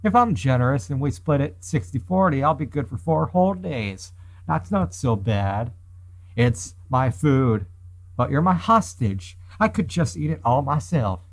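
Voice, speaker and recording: A man's voice: medium pitch, very animated, a little slow. A man reading the part of a brash, threatening young female boat thief in a visual novel. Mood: smug. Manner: cocky, menacing.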